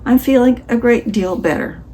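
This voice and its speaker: A woman voicing a vain, excitable male toad who brags gleefully in a storybook. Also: a little high, moderate pace, a little hiss or hum, pitched above the performer's own voice, natural voice. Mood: feeble. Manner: swaggering, gleeful bragging.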